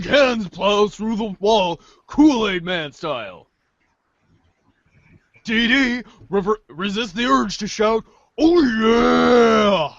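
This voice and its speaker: A woman's voice: on the high side, vocal fry, no room sound, highs cut off. A woman reading along and commenting casually on a comic podcast. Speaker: podcast reader.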